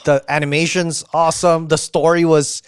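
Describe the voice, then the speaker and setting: A man's voice: on the high side, little pitch movement, normal speed, smooth, dry recording, clean studio sound. A man hosting a podcast interview, asking plain questions and giving short, friendly backchannel replies. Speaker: podcast host. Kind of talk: casual interview.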